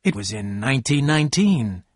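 A courteous gentleman lover from a storybook, voiced by a male narrator. He is quiet yet insistent, with a slightly husky tone and a dry, close sound. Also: medium pitch, sing-song, normal speed, no echo. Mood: quiet, polite. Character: polite, quietly intense gentleman lover, adult man.

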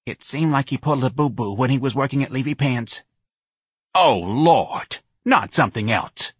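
A man voicing a loud, bossy older woman with a New Orleans accent, brash and pushy in an audiobook story. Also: medium pitch, expressive, normal speed, slightly rough, no echo, studio quality, voice pushed up. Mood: urgent, gossipy.

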